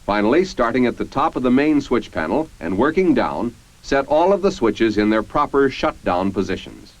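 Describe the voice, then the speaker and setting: An American man reading scripted 1950s military training-film narration in a formal, measured, instructional manner. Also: medium pitch, big pitch swings. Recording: dull, band-limited 1950s film soundtrack.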